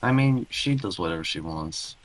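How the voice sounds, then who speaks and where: A man's voice: low-pitched, animated, normal speed, a little hiss or hum. A man co-hosting a casual gaming podcast, talking off the cuff in loose pieces and correcting himself as he goes. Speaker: podcast co-host.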